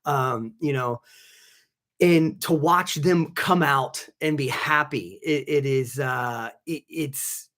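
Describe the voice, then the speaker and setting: A man with a slightly raised pitch, hosting a live stream about skin care. His voice is a little raspy from allergies, and he rambles warmly through fillers and restarts as he greets viewers by name. It sounds casual and unscripted. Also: slow pace. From Southern California. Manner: rambling, many restarts and fillers, reads chat names aloud. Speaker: physician assistant and channel host.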